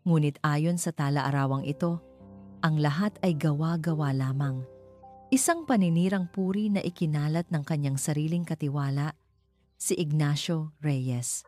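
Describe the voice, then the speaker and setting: A woman's voice: sits low, moderate pace, mellow, lightly acted. A woman playing a grave, shaken elderly male retired teacher in a dramatic story. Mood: grave recounting. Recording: music behind the voice.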